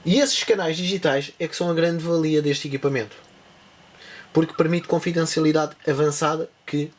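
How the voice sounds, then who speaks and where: A man with a European Portuguese accent giving a casual spoken tutorial, rambling, with many restarts and asides. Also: mid-range voice. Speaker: tech channel presenter.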